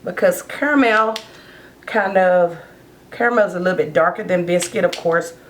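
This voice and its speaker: A woman's voice: on the low side, normal speed, slight background noise. A woman who has partly lost her voice, chatting casually as she does her makeup for a get-ready-with-me vlog. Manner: chatty, rambling, talks while doing makeup. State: hoarse, losing her voice. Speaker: beauty vlogger.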